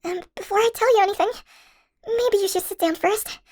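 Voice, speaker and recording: Very high-pitched anxious college girl, acted by a woman. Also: a little fast, a little mellow. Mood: uneasy recounting. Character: anxious, chatty shrunken college girl, class president. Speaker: audio roleplay voice actor. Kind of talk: first-person audio roleplay, conversational acting.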